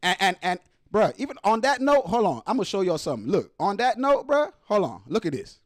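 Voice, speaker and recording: A high-voiced man from Nigeria reacts as a show host in a casual, exasperated rant. His pitch swings widely, and he leans on slang and swearing. The sound is close and dry. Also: big pitch swings, fairly quick, dry recording. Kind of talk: casual ranting reaction commentary.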